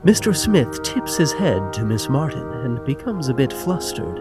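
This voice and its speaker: A man's voice: medium pitch, very animated, moderate pace, over loud music. A man narrating the action of a scripted audio drama.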